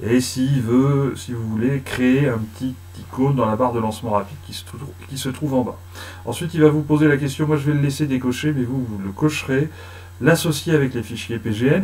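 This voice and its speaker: A man giving a casual screen-recorded software tutorial, talking slowly with many hesitant fillers. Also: medium pitch. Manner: hesitant, many "euh" fillers, chatty and apologetic. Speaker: tutorial host.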